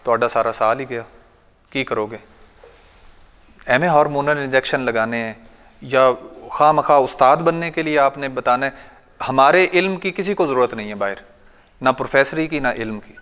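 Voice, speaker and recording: A man's voice: mid-range voice, normal speed, long pauses, fairly bright tone, clean tone. A man in his thirties from Pakistan, with a Punjabi accent, gives a casual, story-filled workshop talk on goat farming to a seated audience. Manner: conversational, anecdotal, recites couplets and quotes elders. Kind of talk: informal training talk. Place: large bright training hall with a seated audience. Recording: reverberant hall.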